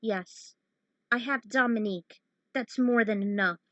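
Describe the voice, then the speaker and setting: Resolute, grieving fan-story hero, acted by a woman, terse and firm, unhurried delivery. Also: fairly high pitch, a bit bright, no echo. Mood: somber, resolved.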